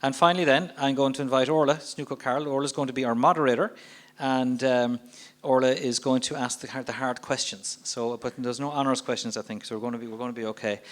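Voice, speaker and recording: A man's voice: mid-range voice, a bit bright. A man hosting a live event and introducing guests, talking loosely and often restarting his phrases. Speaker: event host. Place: stage at a community event in Ireland.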